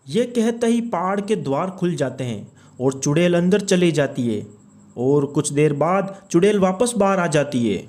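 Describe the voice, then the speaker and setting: A man narrating a children's cartoon story in a steady storytelling manner. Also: high-pitched, moderate pace.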